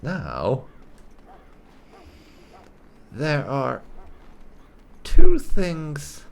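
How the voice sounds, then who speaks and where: Guy doing a chill let's play, super swoopy intonation, slowish, wry asides, faint noise behind. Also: a little high, wildly swooping pitch, creaky. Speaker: gaming channel host. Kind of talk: casual roleplay let's play commentary.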